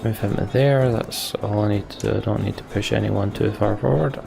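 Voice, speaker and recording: A man's voice: fairly low pitch, steady tone, brisk, gravelly, over music. Man muttering over gameplay. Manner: muttering, thinking aloud. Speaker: let's play host. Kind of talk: gameplay commentary.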